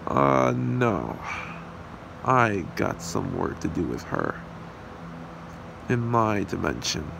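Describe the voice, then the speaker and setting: A man voicing a possessive, smug demon kidnapper in an amateur ASMR roleplay, taunting and unhurried. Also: fairly low pitch, creaky, exaggerated voice, low-quality recording. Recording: steady hiss and hum behind voice.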